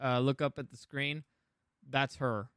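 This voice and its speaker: A man's voice: mid-range voice, steady tone, measured pace, a bit bright, dry recording, clean studio sound. A man co-hosting a casual talk show, giving blunt opinions with some swearing and stammered restarts as he runs through a movie ranking. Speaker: podcast co-host.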